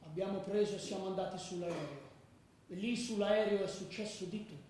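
A man's voice: high voice, a little fast, a little mellow, close to an everyday voice. An actor playing a rowdy football ultra who recalls his gang's mayhem in colloquial radio drama storytelling. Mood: excited recounting. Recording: very echoey, distant from the mic.

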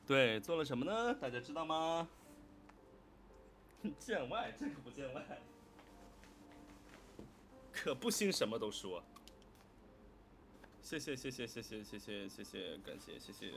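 A man co-hosting a fan quiz livestream, chatting casually and echoing short words in quick bursts as he reacts to answers. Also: medium pitch, very animated, gravelly, fairly bright tone, soft background music. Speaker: co-host of a fan quiz livestream for an audio drama.

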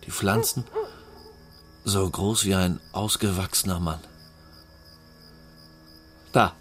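Male narrator; low, slow, hushed and suspenseful. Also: fairly even intonation, slight background noise. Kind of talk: storytelling narration.

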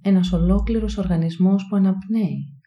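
Woman doing a guided body scan, super mellow dark voice, calm n hushed, lots of long pauses. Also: medium pitch, lo-fi sound. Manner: calm, soothing, hushed delivery with long pauses between short phrases. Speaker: mindfulness meditation guide.

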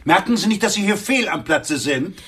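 A man's voice: high voice, lively intonation, normal speed, bright, forceful tone, husky, exaggerated voice. An actor in a radio drama playing a stiff, self-important middle-aged businessman with stilted, formal speech. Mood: irritated, brusque. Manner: stilted, pompous formal courtesy, turning brusque.

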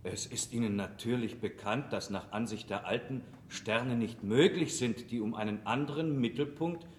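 A sceptical mathematician played by a male actor in a radio play. His mid-pitched voice hedges drily and politely, with a pedant's condescension. The room is clearly echoey. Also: normal speed, a little hiss or hum, close to an everyday voice. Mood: sceptical, pedantic. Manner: hesitant, dry, pedantic. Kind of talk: theatrical radio drama acting.

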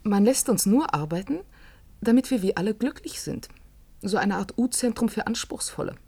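An actress playing a skeptical, inquisitive office employee in a science-fiction radio play, questioning and matter-of-fact. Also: female voice, mid-range voice, expressive, moderate pace, mellow, husky. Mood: pensive, dissatisfied.

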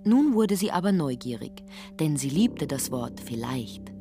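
A woman's voice: deep voice, sing-song, a little slow. A woman narrating a literary radio play, telling the story in a wry, matter-of-fact way. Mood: wry narration. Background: guitar music under the voice.

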